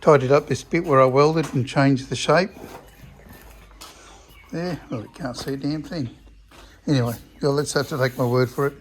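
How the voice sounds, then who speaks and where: Croaky Australian man chatting in his shed. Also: medium pitch, steady tone, moderate pace, long pauses, a little hiss or hum. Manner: rambling, chatty, self-deprecating. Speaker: car restoration vlogger. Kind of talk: casual workshop commentary. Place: home shed.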